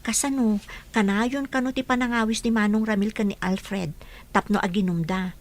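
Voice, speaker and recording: An actress with a Filipino accent playing a gossipy but sympathetic young friend in a radio drama. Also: female voice, medium pitch, steady tone, normal speed, lightly acted. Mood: concerned, insistent.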